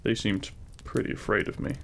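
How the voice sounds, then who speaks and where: A man voicing a devoted, teasing demon king in an intimate romantic audio roleplay, speaking tenderly in courtly, formal phrasing with a gravelly voice. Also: fairly quick, natural voice. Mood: protective, tender.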